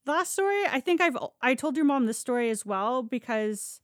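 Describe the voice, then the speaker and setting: A woman's voice: high voice, expressive, moderate pace, dry recording, clean studio sound. A Canadian woman telling stories casually on a podcast, candid and sweary, often acting out dialogue as she goes. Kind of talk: casual conversational storytelling.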